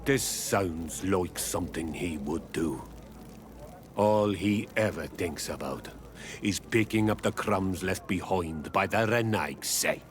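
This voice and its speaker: A proud island chief speaks low and very slowly, gruff and full of disdain for outsiders. It is video game dialogue with outdoor-style ambient noise behind it. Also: male voice. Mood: disdainful, hostile. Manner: gruff, slow, deliberate, disdainful.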